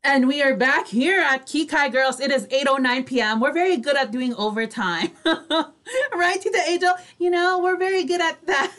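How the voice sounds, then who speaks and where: A woman's voice: very high voice, a bit bright. A woman hosting an online radio show in casual chat, with a chatty, giggly manner.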